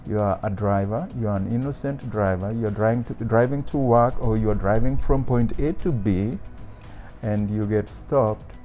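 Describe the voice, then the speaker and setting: A man speaking as a father in an interview, measured and hesitant with fillers. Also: on the low side, mellow, soft background music. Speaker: father interviewed about policing. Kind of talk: reflective interview talk. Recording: dull lo-fi sound.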